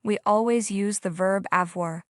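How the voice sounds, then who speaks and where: A woman's voice: medium pitch, dry recording, clean studio sound. A woman teaching beginners, asking the viewer step by step to recall phrases. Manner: slow, prompting questions to the viewer. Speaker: language teacher. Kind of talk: scripted English instruction.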